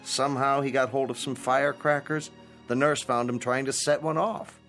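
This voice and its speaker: An actor playing a calm manager of an old folks' home in a radio drama, speaking in a patient, placating way. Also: male voice, medium pitch, moderate pace, faint music behind, lightly acted. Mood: unruffled, explaining.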